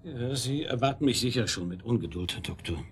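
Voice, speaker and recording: An actor in a German radio drama playing a wry, sardonic chief detective who questions in a colloquial, insinuating way. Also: male voice, mid-range voice, expressive, fairly quick, soft background music, close to an everyday voice. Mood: needling. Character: wry, probing, needling male chief police detective.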